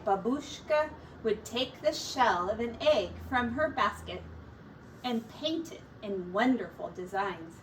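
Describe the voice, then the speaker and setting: A woman reading a children's picture book aloud as a teacher, in a deliberate, expressive storytelling manner. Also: high-pitched. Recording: distant mic with faint background noise.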